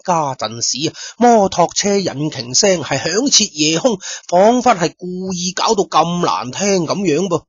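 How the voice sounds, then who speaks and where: A man's voice: high-pitched, expressive, a little slow, hardly any pauses, husky, no echo, highs cut off. A man with a Cantonese accent narrating a story in colloquial radio-drama style, speaking directly to his listeners. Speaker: storyteller, host.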